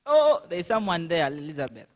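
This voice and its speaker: A man's voice: on the high side, big pitch swings, moderate pace. A man moderating a conference panel, talking chattily with many fillers and repeated okays. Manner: chatty, with frequent fillers and "okay". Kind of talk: event moderation. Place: conference hall.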